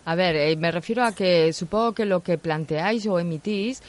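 A man's voice: high-pitched, normal speed. A man with an accent from Spain interviewing on live radio in hesitant, wordy phrasing. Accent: Spanish, from Spain.

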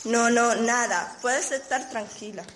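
A woman's voice: a little high, measured pace, fairly bright tone, slight background noise. A young woman acting a lovestruck, conflicted teenage girl in an amateur radio soap. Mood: flustered denial. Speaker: student radio drama actor. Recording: amateur school radio play recording.

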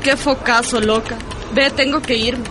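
An actress with an Ecuadorian accent playing a cool, bossy young rock fan in a radio drama, brisk and slangy. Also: female voice, fairly high pitch, steady tone, noisy background, lightly acted. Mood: breezy, curious. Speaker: actor in a radio serial. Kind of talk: naturalistic radio drama dialogue.

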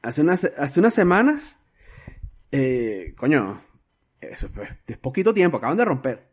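Man, excitable, fairly high voice. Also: normal speed, breathy edge. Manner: storytelling, swearing freely, many restarts. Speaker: podcast co-host. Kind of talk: casual film chat.

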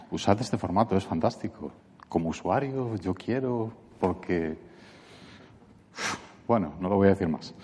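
A man's voice: low-pitched, husky. A man from Barcelona with a Spanish accent, giving a conference talk and acting out quotes in a mocking voice. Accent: Spanish from Catalonia. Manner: animated, acts out quotes in a mocking voice. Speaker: conference speaker. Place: conference hall. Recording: echoey conference hall.

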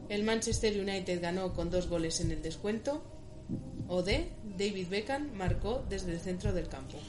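Woman, playful quiz-host read over background music, teasing, mid-range pitch. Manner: teasing, laughs often. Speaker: quiz-show style host. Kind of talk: playful mock quiz-show hosting.

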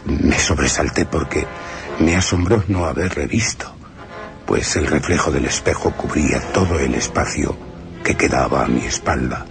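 An actor playing an uneasy male houseguest, telling his gothic tale in a measured, deliberate way for a radio drama. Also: rumbling low, gravelly. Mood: uneasy, suspenseful. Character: uneasy male houseguest recounting a night with a vampire count. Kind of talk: dramatised first-person gothic radio narration. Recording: radio drama with prominent music.

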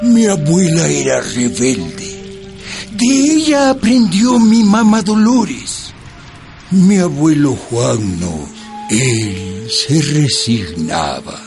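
Slow Andean peasant narrator, high-voiced. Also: male voice, very animated, full character voice. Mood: reflective. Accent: Ecuadorian Andean. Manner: solemn storytelling. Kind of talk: scripted radio drama narration. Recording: music bed under the voice.

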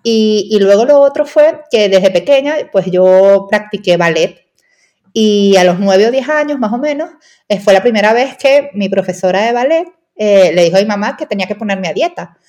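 A woman's voice: medium pitch, lively intonation, normal speed, a little mellow. A young woman from Venezuela with a Venezuelan Spanish accent, a nutritionist chatting as a podcast guest and peppering her talk with tag questions. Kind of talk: casual interview chat.

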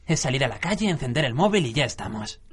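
An actor playing a willing, easygoing young police officer in a radio drama. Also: male voice, mid-range voice, sing-song, fast talker, natural voice. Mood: casual.

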